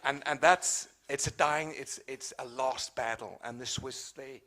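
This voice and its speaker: A man's voice: medium pitch, fairly even intonation, measured pace, bright, forceful tone. A man asking a question in a panel discussion, speaking spontaneously and often repeating and rephrasing his words.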